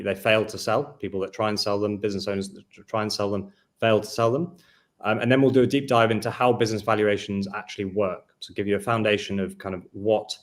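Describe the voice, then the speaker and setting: A man in his thirties hosting a business webinar over a video call, speaking in a measured way with frequent fillers. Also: lowish voice. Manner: measured presenter, hedging with fillers. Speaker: webinar host.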